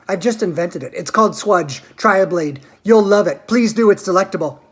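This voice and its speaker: A woman reading the part of an eccentric, excitable chocolate maker in a grand, gushing, theatrical voice. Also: medium pitch, moderate pace, slightly rough.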